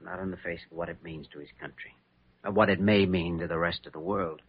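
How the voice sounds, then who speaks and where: An actor playing an earnest, resolute young surgeon in an old radio drama, speaking in a measured, grave way. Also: male voice, deep voice, fairly even intonation, slight background noise. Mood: impassioned, resolute. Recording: muffled old radio recording.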